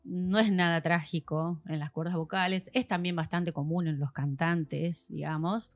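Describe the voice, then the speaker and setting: A woman's voice: lowish voice, fairly even intonation, normal speed. Argentine woman explaining voice care patiently. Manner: patient, teacherly, acts out examples. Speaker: voice specialist guest. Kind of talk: explanatory podcast chat.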